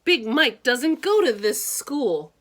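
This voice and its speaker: A woman's voice: fairly high pitch, sing-song, slow pace, fairly bright tone, pitched above the performer's own voice, exaggerated voice. A woman voicing an anxious, put-upon young schoolboy in a children's storybook. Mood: discouraged.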